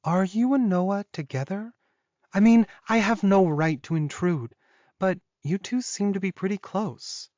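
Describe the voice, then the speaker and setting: Man as a young heroine of a fantasy audiobook, high-pitched, earnest, tentative, curious, dry close sound. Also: a little slow, darkish tone, no echo, highs cut off. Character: young female wolf-shifter heroine, injured, earnest and curious.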